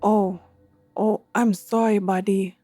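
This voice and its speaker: A woman's voice: mid-range voice, fairly even intonation, slow pace, mellow, dry recording. A woman voicing a guilt-ridden male king who stammers and pleads in an audio drama. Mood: pleading. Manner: hesitant, pleading.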